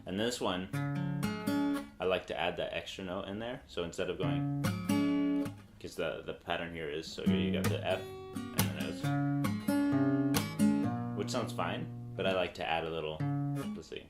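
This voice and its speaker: Brief: young male guitar teacher, very low voice, loose rambling delivery, echoey room, acoustic guitar playing throughout. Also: moderate pace, long pauses. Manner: relaxed, rambling, thinks aloud mid-sentence. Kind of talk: casual guitar tutorial. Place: small bright room indoors, seated with guitar.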